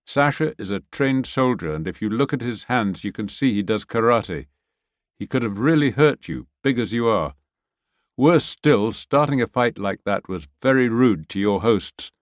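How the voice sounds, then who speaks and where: A man voicing a calm, steady ex-soldier who talks in a level, reasoning way. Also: medium pitch, normal speed, dry recording, studio quality, natural voice. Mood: reproving.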